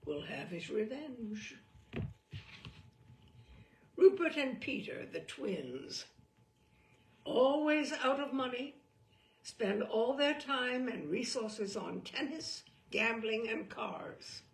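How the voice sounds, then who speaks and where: A woman reading a darkly comic story in a theatrical, deliberate, dramatic manner. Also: a little high, expressive, gravelly, roomy sound. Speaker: storyteller, reader.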